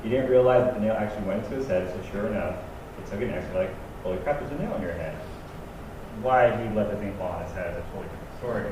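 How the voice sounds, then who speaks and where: A man's voice: lowish voice, very fast, far from the mic. Man telling a story on a panel. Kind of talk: panel discussion, anecdote. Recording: echoey hall from afar, noisy.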